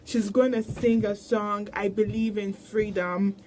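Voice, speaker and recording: A woman's voice: mid-range voice, flat delivery, unhurried, a little mellow, poor audio. Woman hosting a radio show, super enthusiastic, wow wow wow, mid voice, faint clicky noise. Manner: enthusiastic, says "wow" again and again. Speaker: radio host. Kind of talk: casual radio interview. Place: radio studio.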